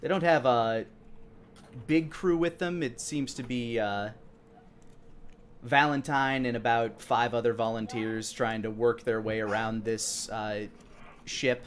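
Man in his 30s, mid-pitched, improvised tabletop game narration, halting delivery. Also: fairly even intonation, a little hiss or hum. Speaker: dungeon master.

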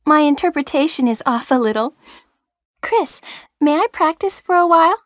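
Very high-pitched, eager rookie medic. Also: female voice, animated, normal speed, no room sound, clean studio sound. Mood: wistful, polite. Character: eager, girlish young woman rookie medic. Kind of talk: stilted 1990s video game voice acting.

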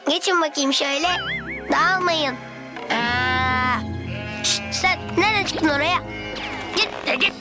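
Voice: high voice, animated, slow pace, long pauses, loud music behind the voice. A performer playing a grumpy, irritable young shepherd in a children's cartoon story. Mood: grumpy, exasperated.

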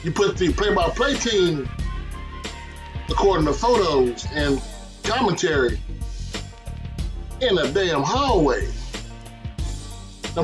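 A man giving opinionated sports commentary in an emphatic way, pausing for effect. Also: high-pitched. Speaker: sports commentary show host. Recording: music bed under voice. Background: background music.

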